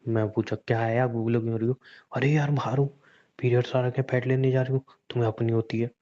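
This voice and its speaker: Mellow young man on a dull recording. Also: mid-range voice, flat delivery, fast talker. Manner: teasing, unbothered. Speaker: boyfriend on a phone call. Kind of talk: casual relationship chat. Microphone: phone. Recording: dull, muffled phone-call recording.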